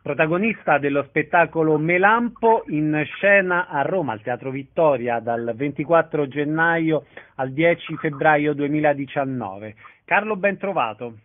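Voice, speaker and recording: A man's voice: on the high side, lively intonation, a little slow, no echo, phone-quality sound. A man hosting a radio arts programme, interviewing guests and reading event announcements.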